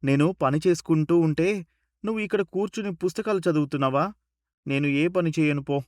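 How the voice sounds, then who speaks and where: A man's voice: on the high side, a little fast, a little mellow, no echo, clean studio sound, voice pushed up, close to an everyday voice. A man voicing a simple-minded, clingy young village husband with a naive, whiny manner in a story. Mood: sulky.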